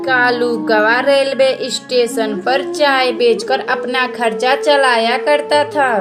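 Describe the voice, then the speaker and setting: A woman's voice: sits high, steady tone, slow pace, over music. A woman narrating a children's cartoon story in a scripted storytelling style.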